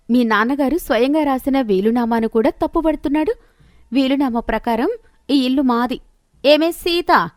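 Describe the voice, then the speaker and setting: A woman's voice: high voice, no echo, studio quality, voice pushed up, close to an everyday voice. A woman voicing a greedy, haughty wife who nags and bosses scornfully in a moral story. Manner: scornful, bossy, nagging. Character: haughty, scheming, greedy wife of an elder brother.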